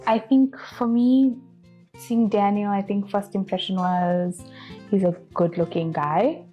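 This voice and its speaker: A woman in her twenties from South Africa, telling her story in a shy, gentle way. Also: medium pitch, fairly even intonation, measured pace, faint music behind, rough recording. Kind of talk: casual interview storytelling.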